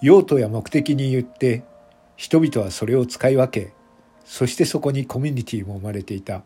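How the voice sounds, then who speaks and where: A man reading scripted narration for an audio drama in a measured, deliberate manner, phrase by phrase. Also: medium pitch, mellow, husky, faint music behind.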